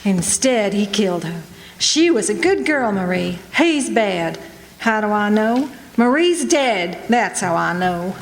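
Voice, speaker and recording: A woman's voice: mid-range voice, animated, unhurried. A woman playing a loyal, hard-edged friend of a victim, blunt and emphatic, in a radio drama. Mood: accusing, certain. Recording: echoey hall, faint stage ambience.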